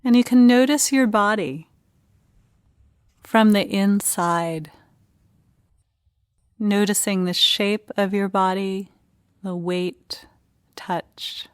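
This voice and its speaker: A woman leading a guided meditation, speaking in short, gentle phrases with unhurried pauses. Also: medium pitch, clear, resonant tone, dry recording, clean studio sound.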